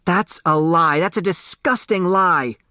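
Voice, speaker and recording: A man's voice: sits high, a little slow, sharp tone, no room sound, studio quality, pitched above the performer's own voice. A man voicing a defiant, grieving young girl in a storybook, angry and protesting. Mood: indignant shouting.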